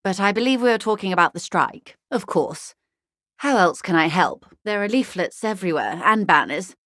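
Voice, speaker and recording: An actress playing a bourgeois corporate negotiator in a video game, polite and articulate, with a measured, drily condescending wit. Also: female voice, mid-range voice, lively intonation, a bit bright, dry recording, clean studio sound, close to an everyday voice. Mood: drily amused. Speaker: senior labour negotiator. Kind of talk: video game dialogue voice acting.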